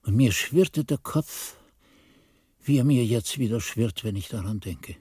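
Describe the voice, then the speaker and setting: A man's voice: medium pitch, unhurried, darkish tone, breathy edge. An actor playing an old monk who narrates his youth in a radio drama, musing and questioning his own memory. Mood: musing, bewildered.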